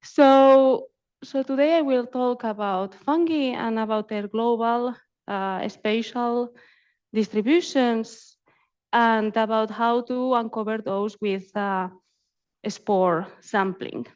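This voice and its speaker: A woman's voice: fairly high pitch, takes it slowly, a little mellow, smooth, lo-fi sound. A woman presenting research at a conference, speaking as an academic and often repeating words as she goes. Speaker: invited academic speaker, community ecologist. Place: symposium talk.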